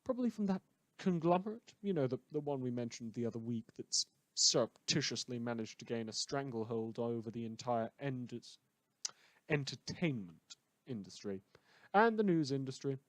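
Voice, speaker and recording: A man's voice: medium pitch, animated, normal speed, dry recording, close to an everyday voice. A man playing a wry, rambling late-night rural radio host in an audio drama, theatrical and sarcastic. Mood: weary, wry.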